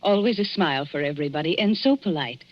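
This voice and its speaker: A woman's voice: low voice, lively intonation, fast. Gossipy village woman, muffled old radio. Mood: confiding, scandalised. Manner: gossipy, confiding. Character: gossipy English village woman, politely scandalised. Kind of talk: 1940s radio acting. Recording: muffled, noisy 1940s radio recording.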